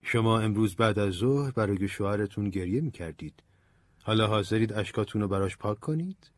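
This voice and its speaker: A man voicing an old male priest who speaks in a gentle, preaching, earnest manner. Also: fairly low pitch, dry recording, clean studio sound, close to an everyday voice. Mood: reproachful. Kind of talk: audiobook character voice.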